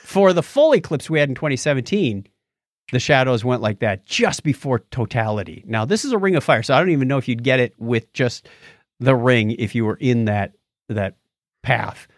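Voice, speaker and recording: A man's voice: mid-range voice, expressive, moderate pace, no room sound, clean studio sound. An American man co-hosting a podcast, chatting casually and explaining things in an anecdotal, conversational way. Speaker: podcast host.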